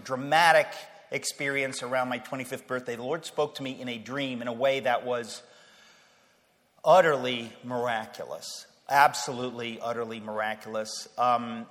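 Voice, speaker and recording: Joking, restarting man in a reverberant hall. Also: medium pitch. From Queens, New York. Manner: conversational and humorous, with stammered restarts and rising emphasis. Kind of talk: casual personal talk.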